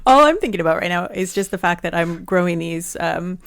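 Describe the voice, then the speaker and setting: Lady co-host talking fast, rambles and gets excited, fairly low voice. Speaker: podcast co-host. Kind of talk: casual podcast chat.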